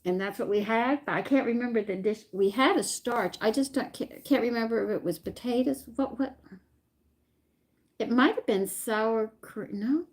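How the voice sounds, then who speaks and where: A woman's voice: on the high side, normal speed. A woman hosting a home cooking live stream, chatting casually with viewers and rambling aloud as she searches her memory. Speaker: home cooking channel host.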